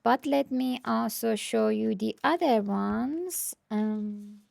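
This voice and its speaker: Slow-talking woman with a higher voice. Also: gravelly, a little mellow, dry recording, clean studio sound. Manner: hesitant, thinking aloud. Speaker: tarot deck reviewer. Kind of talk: casual unscripted show-and-tell.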